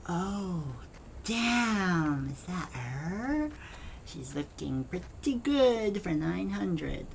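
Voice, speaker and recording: A woman voices a wisecracking but nervous trainee man for an audio drama. The pace is very slow, the manner wry and hesitant, the background faintly noisy. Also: fairly low pitch, very animated, vocal fry, heavily acted. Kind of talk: naturalistic audio drama acting.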